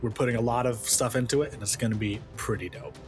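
A man hosting a podcast, making a casual announcement in an informal, halting way. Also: medium pitch, faint music behind. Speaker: podcast host.

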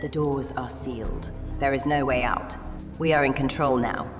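An extremely deep villain's voice, proclaiming with pompous menace. It is a theatrical fantasy sorcerer in a radio play, drenched in cavernous reverb with loud music underneath. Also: female voice, moderate pace. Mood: menacing triumph. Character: grandiose villainous sorcerer leading fanatical fantasy roleplayers.

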